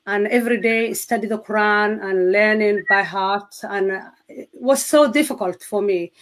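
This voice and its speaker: Woman, Somali accent, English not her first language, earnest, halting, searching for words, interview guest. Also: mid-range voice. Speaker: guest sharing testimony. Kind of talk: personal testimony in an interview.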